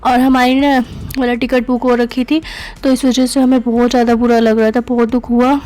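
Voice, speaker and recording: A woman's voice: fairly high pitch, level tone, normal speed, dark tone, poor audio. A young woman narrating her family vlog in voice-over, chatty and full of fillers. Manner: chatty, many fillers like "na" and "matlab". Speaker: family vlogger.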